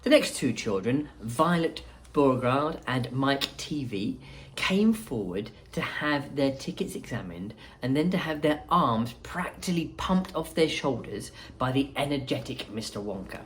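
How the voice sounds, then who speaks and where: Slow, expressive woman reading aloud. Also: very deep voice, slight background noise, rough recording. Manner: unhurried, expressive, pausing. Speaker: school principal reading aloud. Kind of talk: storybook reading with asides.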